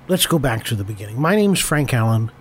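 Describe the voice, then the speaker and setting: A hoarse man with a cold hosts a comedy podcast, stumbling over his words and doubling back on himself. His pitch sits in the middle range. A faint hiss runs under the recording. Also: very animated, fast talker. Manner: rambling, stammering, self-correcting. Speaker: podcast host.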